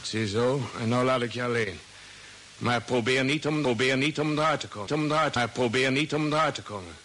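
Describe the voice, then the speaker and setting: A man's voice: medium pitch, moderate pace, fairly bright tone, lightly acted. An actor playing a stern, suspicious sheep farmer in an old radio play, blunt and commanding, repeating his warnings. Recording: hissy old radio drama recording.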